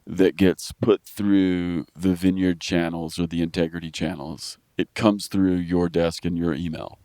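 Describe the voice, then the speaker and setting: A man's voice: low-pitched, flat delivery, measured pace, mellow, dry recording, studio quality. An American man hosting a podcast, asking questions in an upbeat, casual way. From United States. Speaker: podcast host. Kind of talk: casual interview.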